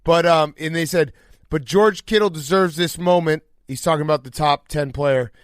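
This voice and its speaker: An American man with a fairly high voice banters as a sports podcast host. He is enthusiastic and jokey, talking like one of the bros. The sound is dry with little room. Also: moderate pace, no room sound. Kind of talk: casual banter interview.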